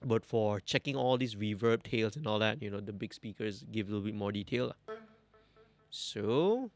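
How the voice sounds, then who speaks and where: A young man, talking through a mix on a studio livestream, relaxed and thinking aloud as he works. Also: fairly low pitch, moderate pace, no echo. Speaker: mixing engineer host. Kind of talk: livestream mixing tutorial. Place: indoor music studio.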